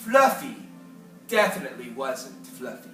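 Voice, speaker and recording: A theatrical storyteller reads a children's picture book with big swings in pitch and careful, unhurried phrasing. The voice is bright and clear in its delivery, heard in an echoey room a little away from the mic, with faint music behind. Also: far from the mic. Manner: animated, theatrical, deliberate phrasing. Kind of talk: expressive read-aloud of a children's picture book.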